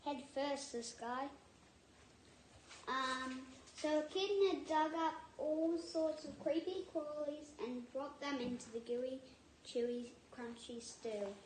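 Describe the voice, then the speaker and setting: A young-sounding female reader doing a children's storybook read-aloud, halting at times, with casual asides. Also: high-pitched. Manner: halting, repeats words while reading. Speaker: storybook reader and channel host. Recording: echoey room, faint background noise.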